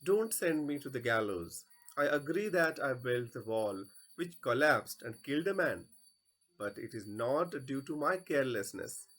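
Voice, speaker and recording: A man's voice: medium pitch, big pitch swings, a little slow, fairly bright tone. A man voicing a fearful bricklayer in a stage play, pleading for mercy and shifting blame.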